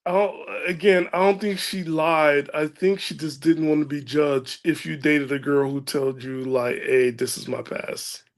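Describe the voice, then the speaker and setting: A man's voice: a little high, steady tone, unhurried, hardly any pauses. A man hosting a casual podcast chat, joking and laughing often. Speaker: podcast host.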